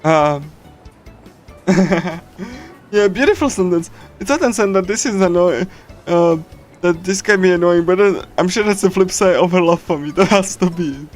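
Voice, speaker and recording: Higher-voiced man chatting over game music. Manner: halting, giggly, chatty fan. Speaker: streamer reading a visual novel. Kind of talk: casual livestream chat with loose readthrough. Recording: music playing behind the voice. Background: game soundtrack music.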